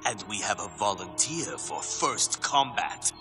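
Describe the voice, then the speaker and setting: A man's voice: fairly low pitch, lively intonation, unhurried. A man playing a scheming, pompous sorcerer who presides over a tournament in a theatrical way, in a video game. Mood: smug. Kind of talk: dramatic video game acting. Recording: long hall reverb under loud music.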